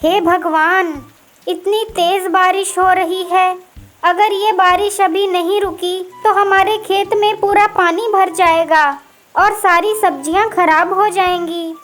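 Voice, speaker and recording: A woman's voice: extremely high pitch, steady tone, takes it slowly, a bit bright, faint music behind, pitched above the performer's own voice, exaggerated voice. A woman voicing an anxious, caring mother bird in a children's cartoon, with a plaintive, fretful manner. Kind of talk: children's cartoon story acting.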